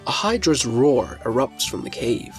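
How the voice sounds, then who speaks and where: A man's voice: mid-range voice, expressive, unhurried. A man narrating a scripted fantasy story in the present tense as its narrator. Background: faint music.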